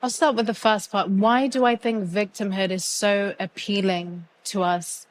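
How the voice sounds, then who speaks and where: A woman's voice: mid-range voice, steady tone, a little slow, a little hiss or hum. A young adult woman from Zimbabwe speaking candidly and reflectively as a guest in a live panel conversation on stage. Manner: earnest, candid, searching. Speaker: guest speaker, podcaster and author.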